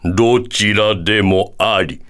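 A man voicing a wise, proud elderly bear-like sage in a video game, measured and calm, ending sentences with a quirky verbal tic. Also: lowish voice. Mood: courteous. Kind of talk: video game character voice acting.

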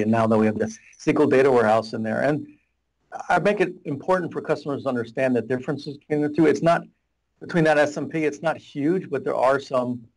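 A man's voice: medium pitch, vocal fry, poor audio. A middle-aged man, a data warehouse architect, explaining at length as a guest on a video call, with many restarts, from a small office. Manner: rambling, restarts, gestures a lot while explaining. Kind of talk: casual explanatory interview. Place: small office room with whiteboard. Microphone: video call webcam.

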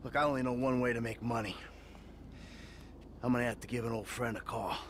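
A man's voice: medium pitch, steady tone, fairly quick, a bit bright, a little hiss or hum, natural voice. An actor in his forties playing a weary, profane ex-bank robber in a game cutscene. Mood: resigned. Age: 40s. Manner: gruff, exasperated, swears often. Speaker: game character.

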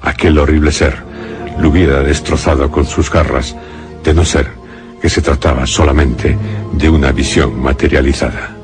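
A man narrating a suspense radio drama in a deliberate, solemn, dramatic way. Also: very deep voice, fairly even intonation. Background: faint music.

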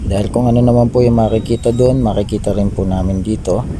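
A man's voice: on the low side, fairly even intonation, fast, background noise. A man giving casual, polite travel vlog narration to his viewers. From Philippines. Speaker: travel vlogger. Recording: music behind the voice. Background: background music.

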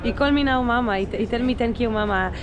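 High-voiced Israeli woman vlogging. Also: fast talker, lo-fi sound. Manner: playful, non-native English. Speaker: travel vlogger. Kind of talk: casual chat to camera and passers-by. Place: outdoor city street market. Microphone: handheld action camera at arm's length. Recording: busy street market crowd noise.

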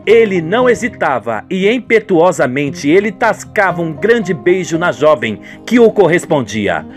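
A man's voice: a little high, sing-song, a little slow, soft background music. A Brazilian man giving dramatic scripted narration of a radio soap as a playful storyteller. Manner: playful storyteller addressing listeners.

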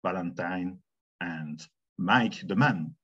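A man from Switzerland with a Swiss French accent, co-hosting an online meeting in halting English and often repeating words. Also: sits low, fairly bright tone, lo-fi sound. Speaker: community meeting co-host. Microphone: video call.

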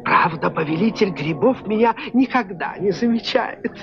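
An actor voicing a friendly, philosophical little snail in a dubbed cartoon, calming and deliberate. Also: male voice, sits high. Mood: calm, wry. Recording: muffled old film soundtrack with music.

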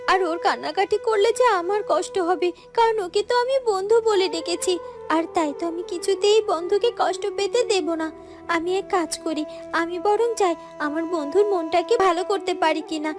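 Woman voicing a very high-pitched, tender cartoon girl. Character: innocent, kind-hearted eighteen-year-old girl, sorrowful and tender. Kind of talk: cartoon fairy-tale voice acting.

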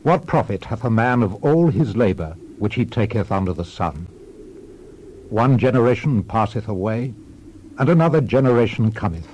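An actor playing a world-weary, reflective old king and preacher in a dramatized scripture reading, solemn and measured. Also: fairly low pitch, lively intonation, darkish tone, slight background noise, natural voice, poor audio.

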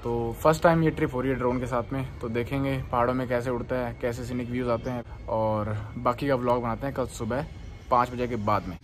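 A man from Rajasthan chatting casually to his camera as a travel vlogger, talking to viewers from a car on a highway. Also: fast. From Rajasthan, India. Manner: chatty, relaxed, addresses viewers as guys. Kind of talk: casual vlog narration to camera. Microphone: compact camera's built-in mic. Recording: loud road and engine noise.

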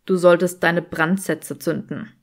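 A woman's voice: on the low side, steady tone, unhurried. A woman voicing an impatient, threatening young male wizard in an audiobook, with terse commands. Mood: impatient, urgent. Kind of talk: audiobook character acting.

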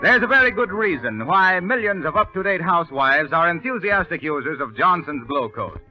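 A man's voice: a little high, big pitch swings, normal speed. An American man announcing on 1930s radio, reading scripted copy with polished, emphatic salesmanship. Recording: dull 1930s radio recording with music.

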